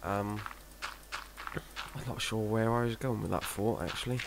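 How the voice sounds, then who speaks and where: A man's voice: lowish voice, a little slow, vocal fry. A man, rambling hesitantly and self-deprecatingly through casual gameplay commentary. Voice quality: monotone, self-described. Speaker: Minecraft let's player. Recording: noisy home recording.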